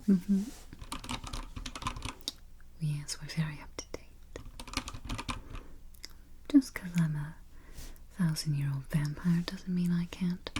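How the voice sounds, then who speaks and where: A woman whispering softly and calmly in an ASMR roleplay as a vampire travel agent, close to the microphone. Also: sits low, slight background noise. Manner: soft whispering, unhurried and reassuring. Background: keyboard typing and taps.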